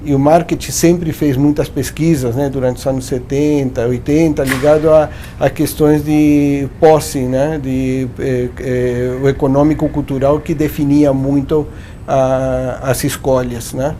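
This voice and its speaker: A man's voice: mid-range voice, level tone, measured pace, mellow, a little hiss or hum. A man from Uruguay speaking with a Uruguayan Spanish accent, a professor answering interview questions in a thoughtful, explanatory way with frequent restarts. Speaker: branding professor and guest.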